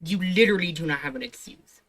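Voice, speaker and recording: Woman streamer doing reactions, bright slightly low voice, giggly n sarcastic, swears. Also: quick pace, slight background noise. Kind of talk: casual reaction commentary.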